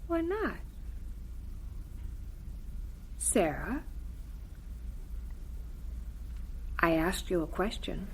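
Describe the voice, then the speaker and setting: A woman playing a gentle, devout mother in a radio drama, soothing and softly admonishing. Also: on the low side, big pitch swings, moderate pace, husky. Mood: gentle, coaxing.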